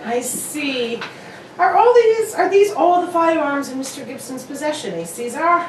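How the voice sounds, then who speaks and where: A woman playing a brisk, confident, analytical detective, reasoning precisely in the manner of an old radio mystery. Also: high voice, animated. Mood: probing, thoughtful. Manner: precise, probing, theatrically emphatic reasoning. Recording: roomy, distant, noisy home recording.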